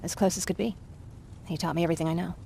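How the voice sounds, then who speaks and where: A young female survivor in a war game. Her voice is on the low side, and she talks in a candid, chatty, naturalistic way. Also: steady tone, racing, gravelly, mellow, slightly rough. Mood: wistful, chatty. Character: earnest, talkative young woman, war survivor. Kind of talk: naturalistic video game acting.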